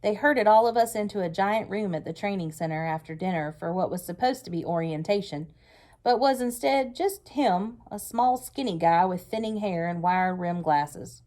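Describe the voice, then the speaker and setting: A woman narrating a story aloud in an expressive read-aloud style. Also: medium pitch, normal speed.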